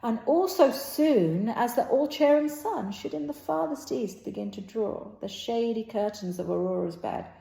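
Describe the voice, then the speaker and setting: A woman reading a stage play aloud impromptu and playing all the parts, in a halting, untrained way. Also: on the high side, gravelly, dark tone. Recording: echoey room.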